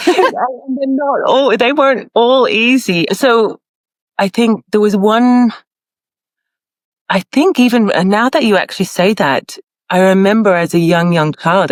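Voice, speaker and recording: A woman's voice: on the low side, no echo. Woman guest from ireland and australia, earnest, lots of restarts and laughs, dry sound. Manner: earnest, searching, laughs often, many restarts. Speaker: podcast guest. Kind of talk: conversational interview.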